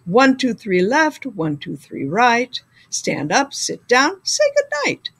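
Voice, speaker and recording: A woman's voice: on the high side, huge pitch swings, slow, fairly bright tone, husky, heavily acted. A woman voicing a team of prim young penguins in a storybook, chanting properly and patiently. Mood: prim, instructive.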